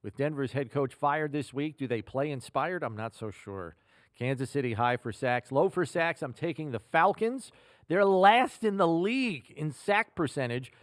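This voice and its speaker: A man's voice: a little high, lively intonation, normal speed, no room sound, clean studio sound. An American man hosting a sports podcast, giving scripted analysis in a polished announcer delivery with emphatic phrasing. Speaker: podcast host.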